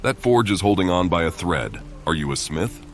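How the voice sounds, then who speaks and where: Very deep, blunt warrior. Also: male voice, lively intonation, normal speed, faint music behind. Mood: terse. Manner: terse, blunt. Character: grim, troubled male warrior adventurer.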